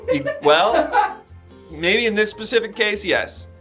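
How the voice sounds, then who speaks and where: A man playing a patient, deadpan casting director who explains things drily in an improvised comedy scene. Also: high voice, slow, breathy edge, soft background music, heavily acted.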